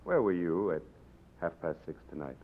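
An actor playing a stern, controlled commandant, questioning with clipped authority in 1940s radio style. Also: male voice, deep voice, very animated, moderate pace, phone-quality sound, slight background noise. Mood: grave, controlled. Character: stern, controlled male commandant running an underground tribunal. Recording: thin, band-limited old radio broadcast.

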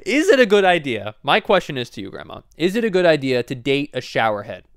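A man's voice: fairly high pitch, very animated, fairly quick, no echo, clean studio sound. A young man hosting a casual podcast, teasing and playfully questioning his grandmother. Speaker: podcast host.

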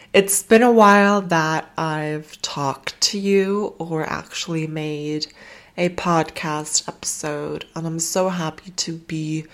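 A woman hosting a self-help podcast, talking casually and affectionately with fillers, while she has a cold. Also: fairly low pitch. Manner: gentle, affectionate, rambling with fillers. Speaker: podcast host. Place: home in Germany.

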